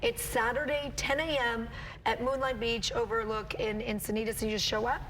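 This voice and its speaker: A woman's voice: a little high, fairly even intonation, normal speed, a bit bright, slight background noise, poor audio. A woman hosting a live morning TV show, chatty and playful with her guest. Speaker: morning news anchor. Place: TV studio.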